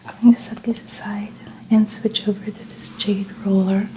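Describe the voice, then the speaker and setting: A woman in a soft-spoken ASMR skincare roleplay, gently narrating each step in a breathy, often whispered voice. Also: mid-range voice, little pitch movement, normal speed, poor audio. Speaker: ASMR host playing a skincare practitioner. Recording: noticeable ambient background noise.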